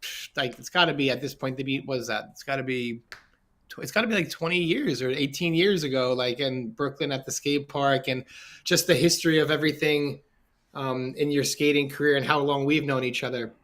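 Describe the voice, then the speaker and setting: A man's voice: medium pitch, fairly quick, fairly bright tone. A man hosting a podcast, asking friendly, meandering questions packed with "like". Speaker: podcast host. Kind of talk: casual interview.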